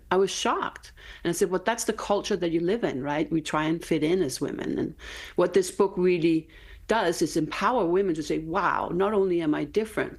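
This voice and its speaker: A woman's voice: low voice, creaky, a little mellow. A woman in her fifties with a Danish accent, speaking earnestly as an author guest in a TV interview, often tagging her points with "right?". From Denmark. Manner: earnest, emphatic, frequent "right?" tags.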